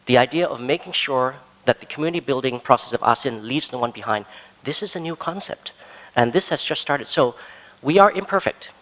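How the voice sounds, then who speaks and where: Guy talking on a conference panel, earnest, middle pitch voice, long hall echo. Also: expressive, a bit bright. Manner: measured, earnest, stresses key words. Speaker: panel speaker.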